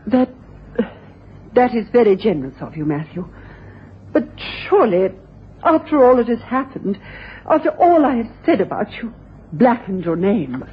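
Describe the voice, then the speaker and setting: A woman's voice: on the high side, big pitch swings, normal speed, long pauses. An actress playing a proud, impassioned upper-class Victorian woman in a radio drama, formal and emphatic. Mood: subdued, hesitant. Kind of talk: courtroom radio drama acting. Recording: dull radio recording, long hall echo.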